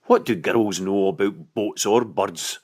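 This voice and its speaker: A man's voice: fairly low pitch, measured pace, slightly rough. A man voicing a bossy, sulky island boy who is scornful of girls, in a storybook reading.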